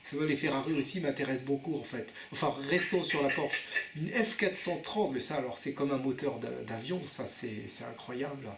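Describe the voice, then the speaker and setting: A man's voice: mid-range voice, normal speed, gravelly, a bit bright, echoey room. A man making a prank phone call, asking naive questions in a hesitant, repetitive way. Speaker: prank caller posing as a car buyer.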